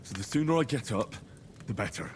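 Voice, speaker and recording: A man voices an earnest young man in a game cutscene, his voice at an ordinary, mid pitch. He speaks plainly and seriously, driven by a vow of revenge. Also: animated, a little fast, a little hiss or hum, close to an everyday voice. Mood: grim. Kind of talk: video game cutscene dialogue.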